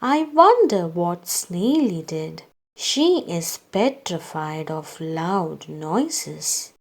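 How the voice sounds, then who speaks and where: Female read-aloud storyteller for small children. Very unhurried pacing, animated intonation, scripted character dialogue. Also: on the low side, vocal fry. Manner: slow, deliberate delivery for young children.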